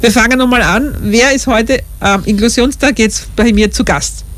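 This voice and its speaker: A woman's voice: on the high side, moderate pace. A woman with an Austrian accent hosting a casual live radio interview in a studio, chatting and laughing easily with guests. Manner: chatty, laughs often, warm questions. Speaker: radio host. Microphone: studio microphone.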